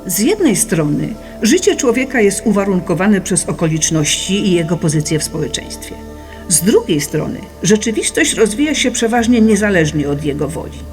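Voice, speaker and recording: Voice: mid-range voice, natural voice. A narrator reading a scripted philosophical audiobook in an explanatory, didactic manner. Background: music.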